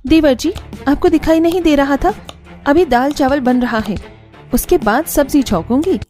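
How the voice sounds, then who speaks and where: A woman's voice: high voice, normal speed, darkish tone, faint music behind, voice pushed up, close to an everyday voice. A woman voicing an irritable, overworked young daughter-in-law in a cartoon family drama, grumbling sarcastically in exasperation. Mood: irritated. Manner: exasperated muttering complaints.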